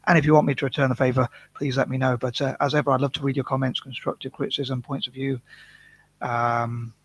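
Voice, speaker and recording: A man from the UK rambles through a casual vlog in a flat, monotone voice with a husky edge. He hesitates often, restarting his sentences and apologizing for waffling. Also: medium pitch, quick pace, dry recording. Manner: hesitant, many restarts and fillers, self-conscious. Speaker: vlogger.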